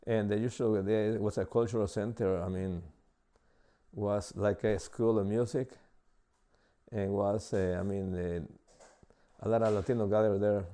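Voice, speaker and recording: Older salvadoran guy, accent, low mellow voice, lots of uh's and restarts. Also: steady tone. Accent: Salvadoran Spanish. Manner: halting, many uh fillers and restarts. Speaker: interviewee. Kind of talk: casual interview answers.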